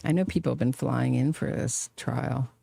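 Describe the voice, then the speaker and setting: Gravelly-voiced woman doing sardonic trial commentary. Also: a bass voice, moderate pace, mellow, no echo. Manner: chatty, sardonic, laughs often. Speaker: true crime commentator. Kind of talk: casual live trial commentary.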